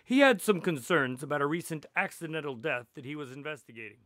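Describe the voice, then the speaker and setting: An affable doctor and detective's sidekick in a radio drama. His voice is bright and fairly high, with a very animated melody. He sounds polite and reassuring, a little hesitant as he tells of old cases. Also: fast talker. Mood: storytelling. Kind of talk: radio drama acting and storytelling.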